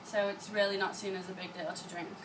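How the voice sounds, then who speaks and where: A woman's voice: mid-range voice, little pitch movement, brisk. A young woman, a student chatting casually in an interview. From Manchester, England. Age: young adult. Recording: distant mic with background noise.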